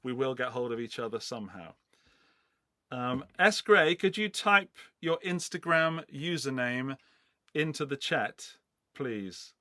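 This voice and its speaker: A man's voice: medium pitch, animated, unhurried, bright, forceful tone, no room sound, clean studio sound. A middle-aged man from England, hosting a casual live-stream giveaway in a loose, rambling, chatty way. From England, Forest of Dean in Gloucestershire. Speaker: livestream host running a giveaway.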